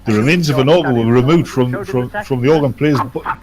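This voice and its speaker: A man's voice: mid-range voice, fast talker. A man bantering casually and crudely as a live stream co-host, joking his way through a show. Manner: crude, jokey. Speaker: live stream host riffing over an old radio play. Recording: voices and radio show talking behind.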